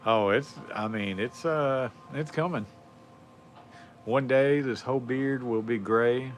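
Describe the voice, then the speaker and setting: A man's voice: mid-range voice, slow, slight background noise. A man, chatting casually on a livestream in a rambling, laid-back way with lots of fillers. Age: 40s. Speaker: livestream host. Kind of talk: casual chat.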